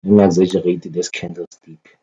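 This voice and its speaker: A man's voice: deep voice, little pitch movement, brisk, warm tone, husky. A man giving casual, unscripted commentary as a market analyst, thinking aloud and often repeating phrases as he goes. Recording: dull lo-fi microphone sound.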